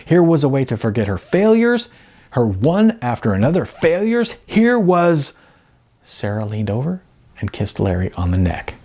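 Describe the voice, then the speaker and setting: A man narrating fiction as an audiobook reader, in a dramatic, expressive and emphatic way. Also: medium pitch, husky.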